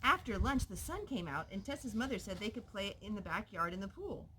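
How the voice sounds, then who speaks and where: A woman's voice: mid-range voice, lively intonation, brisk, sharp tone. A woman reading a picture book aloud expressively to a young child in a noisy recording with clicks.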